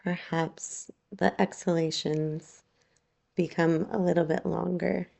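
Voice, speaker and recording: A woman leads a guided relaxation as a yoga teacher. Her voice is low and very slow, with a soft, mellow tone. She speaks gently and leaves long pauses between phrases. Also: level tone, creaky. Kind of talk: guided meditation, slow soothing instruction.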